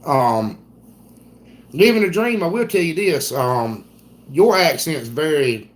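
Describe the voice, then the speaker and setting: A man's voice: on the high side, big pitch swings, slow. A man with a thick Southern accent from South Carolina, hosting a live stream in a rambling, folksy way and reading viewer comments aloud. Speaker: homestead livestream host. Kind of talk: casual live-stream chat.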